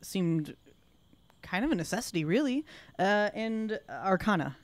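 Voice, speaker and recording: A woman improvising in-character dialogue at a tabletop game, speaking in a hesitant, halting way. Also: mid-range voice, big pitch swings.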